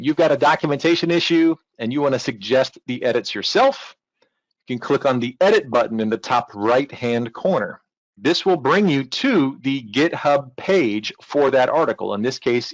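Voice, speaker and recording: A man's voice: mid-range voice, animated, normal speed, dry recording. Man presenting a tutorial, dull dry sound. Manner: casual, reassuring, conversational. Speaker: technical documentation team member, presenter.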